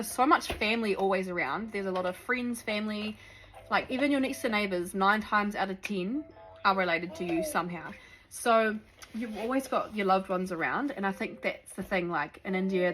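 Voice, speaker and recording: A woman's voice: medium pitch, fairly bright tone, poor audio. A young woman from New Zealand with a Kiwi accent, chatting casually to camera with lots of ums and likes. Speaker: vlogger. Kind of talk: sit-down chat to camera.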